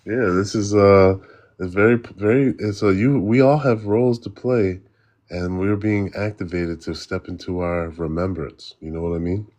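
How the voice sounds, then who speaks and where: A very deep-voiced man hosts a loose spiritual conversation over a call. He rambles, repeats words as he searches for them, and laughs easily between thoughts. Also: fairly even intonation, normal speed, darkish tone. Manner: rambling, stammering, laughs often. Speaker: host. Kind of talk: casual spiritual chat.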